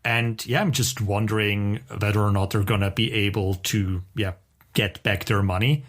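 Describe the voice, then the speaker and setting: A man's voice: on the low side, steady tone, normal speed, a bit bright. A man co-hosting a podcast in casual conversation, with an opinionated, wry way of talking. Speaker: podcast co-host.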